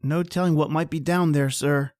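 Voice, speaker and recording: A man's voice: a little high, steady tone, normal speed, darkish tone, no echo, natural voice. Nervous, deferential sidekick John, male actor, dry sound. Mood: reluctant. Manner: deferential, apprehensive. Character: nervous, deferential manservant companion named John, reluctant but loyal. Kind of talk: old-time radio drama acting.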